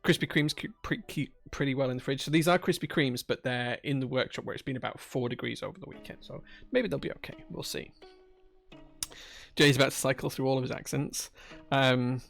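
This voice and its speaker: A man's voice: mid-range voice, fairly quick, gravelly, a bit bright, soft background music. A man with a Yorkshire accent chatting casually on a live stream, reading out viewer messages with a jokey, rambling manner. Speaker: streamer building keyboards.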